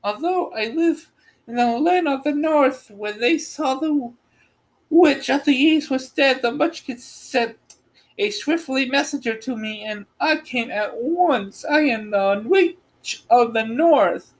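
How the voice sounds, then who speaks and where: Lady doing a sweet little old good witch from a storybook, way up high, slow and reassuring. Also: animated, low-quality recording. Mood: kindly, modest. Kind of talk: storybook read-aloud character voice.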